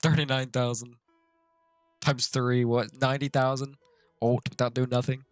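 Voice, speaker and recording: A man's voice: medium pitch, flat delivery, moderate pace, clean tone, dry recording. A man livestreaming a video game, reacting to it in casual, joking, slangy commentary. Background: game music.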